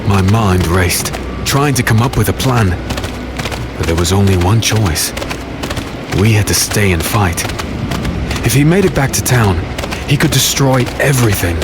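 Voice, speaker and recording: A man's voice: lowish voice, animated, a little slow, long pauses, heavy background noise. A man playing a defiant swordsman hero in a fantasy audio drama, intense and dramatic as he fights and tells his tale. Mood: tense narration. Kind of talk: dramatic first-person narration and dialogue, fantasy audio drama. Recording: music behind the voice.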